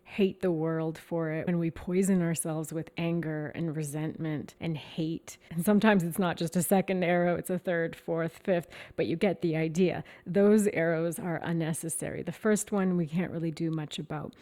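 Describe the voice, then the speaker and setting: A calm woman, a meditation teacher talking reflectively to the camera in a gentle, measured way. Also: low voice. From Canada. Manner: calm, gentle and measured, slowing down on key words.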